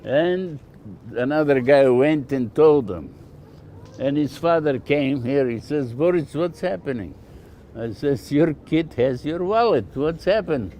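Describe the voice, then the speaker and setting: A man's voice: a little high, lively intonation, a little slow, warm tone, slight background noise. An older man from Bulgaria with a Bulgarian accent, telling anecdotes casually and plainly as a shopkeeper being interviewed. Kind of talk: casual oral storytelling.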